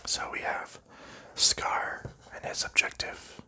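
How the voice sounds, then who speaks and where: A man softly narrating an ASMR unboxing in a breathy whisper, explaining things gently and without hurry, close to the microphone. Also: slow pace. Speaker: ASMR host.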